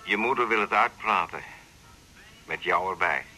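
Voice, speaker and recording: An older father, calm and plainspoken, with a dry, matter-of-fact way of talking. His voice is fairly low but has a very bright, sharp edge. Faint music plays behind him. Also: normal speed. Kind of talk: 1980s radio drama acting.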